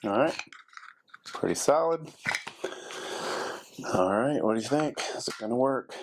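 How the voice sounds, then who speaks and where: A man's voice: medium pitch, expressive, measured pace, background noise. A man casually narrating a hands-on repair, thinking aloud as he works, like a DIY video host. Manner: thinking aloud while working. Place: indoors at a carpeted workspace desk.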